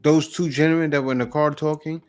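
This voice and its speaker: A man's voice: on the high side, fairly even intonation, fast talker, clear, resonant tone, faint music behind. A man hosting a reaction video, giving blunt, opinionated commentary on a clip he is watching. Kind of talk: casual commentary.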